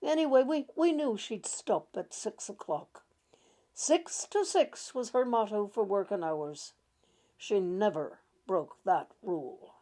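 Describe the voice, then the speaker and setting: A woman gently reading a literary short story aloud in a measured, deliberate way. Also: medium pitch, lively intonation. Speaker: reader of short stories.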